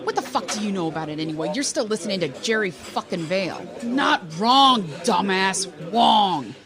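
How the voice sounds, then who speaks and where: A woman's voice: medium pitch, lively intonation, normal speed, close to an everyday voice. A woman playing a foul-mouthed, bossy crime boss in an audio drama, talking crudely. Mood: irritated, bossy. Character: foul-mouthed brash crime boss holding forth at breakfast. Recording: chatter of a crowd behind.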